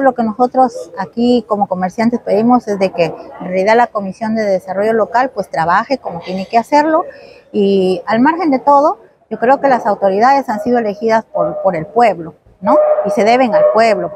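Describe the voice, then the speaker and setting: A woman's voice: fairly high pitch, poor audio. A woman with a Peruvian Spanish accent, a traders' leader answering a news interview in an insistent, emphatic way.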